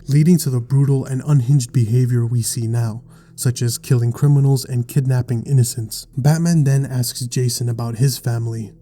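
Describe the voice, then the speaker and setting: A man narrating a scripted explainer in a measured, articulate storytelling way. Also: medium pitch, warm tone. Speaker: video essay narrator.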